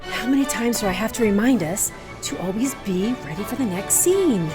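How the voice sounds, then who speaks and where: A woman's voice: medium pitch, animated, normal speed, close to an everyday voice. A woman playing a flustered, dramatic drama teacher in an audio drama. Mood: theatrical, exasperated. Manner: dramatic, exclamatory. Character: flustered, theatrical female drama teacher running rehearsal. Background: music.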